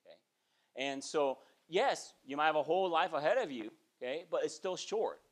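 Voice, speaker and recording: A man's voice: fairly high pitch, moderate pace. Conversational man in his forties preaching. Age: 40s. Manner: conversational preaching, frequent "okay?" check-ins, animated gestures. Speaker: pastor. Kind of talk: sermon. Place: small church sanctuary, indoors.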